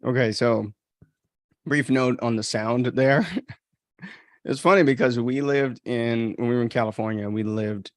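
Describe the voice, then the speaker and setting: A man's voice: medium pitch, unhurried, pauses often, no room sound, studio quality. An American man giving casual, off-the-cuff commentary as a podcast host, chatty and informal with reflective asides.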